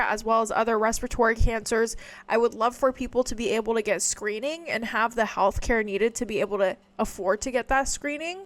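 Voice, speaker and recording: A woman's voice: a little high, a bit bright. A woman from the United States giving blunt, profane political reaction commentary, ranting straight to her audience.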